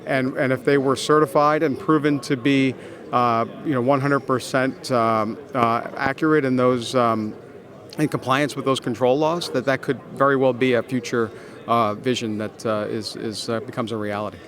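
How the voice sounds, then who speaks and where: A man answering interview questions as a business executive, explaining in halting phrases with frequent pauses and repeated words. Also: medium pitch, fairly even intonation, fairly bright tone. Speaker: consultancy executive, interview guest.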